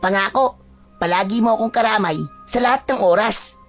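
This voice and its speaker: A woman's voice: on the high side, brisk, soft background music, lightly acted. A woman voicing an earnest, secretive young boy in a dramatized story. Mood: earnest, guarded. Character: young boy, secretive, earnest friend who warns of curses.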